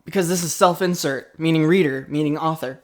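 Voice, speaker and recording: A man's voice: fairly high pitch, a little fast, voice pushed down, natural voice. A man voicing an eager, smitten self-insert fan fiction hero in an improvised comedy radio play, overblown and melodramatic.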